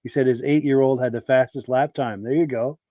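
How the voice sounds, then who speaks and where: A man hosting a podcast in a relaxed, chatty way, reading out viewer comments while his voice is hoarse from a sore throat. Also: medium pitch, brisk, dry recording, narrow, tinny audio. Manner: relaxed, chatty, affirming with yeahs. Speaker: podcast host.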